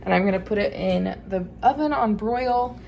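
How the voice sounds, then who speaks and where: A woman's voice: medium pitch, moderate pace, vocal fry, darkish tone. Young midwestern gal vlogging on a trip, chatty with lots of likes and ums, little bit of hiss behind her. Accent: Midwestern American. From American Midwest. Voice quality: casual, chatty with frequent fillers and light laughs. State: slightly raspy morning voice. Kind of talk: casual vlog chat. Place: vacation rental house and car.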